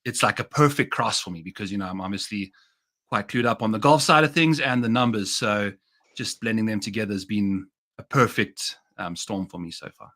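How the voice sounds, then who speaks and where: A man's voice: fairly low pitch, brisk, bright, forceful tone. A man with a South African accent, a guest on a golf betting podcast, chatting casually with breezy, upbeat slang.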